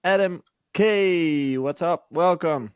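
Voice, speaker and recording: A man's voice: a little high, takes it slowly, smooth, dry recording, clean studio sound. A young man hosting a podcast over a video call, upbeat and welcoming as he greets his guest and listeners. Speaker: podcast host. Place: home studio, indoors. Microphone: studio mic on boom arm, video call.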